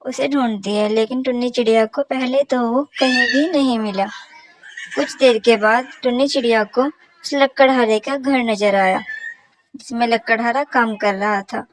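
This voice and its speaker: A woman's voice: on the high side, fairly even intonation, a little slow, no room sound, low-quality recording. A woman narrator reading a scripted children's story about animals.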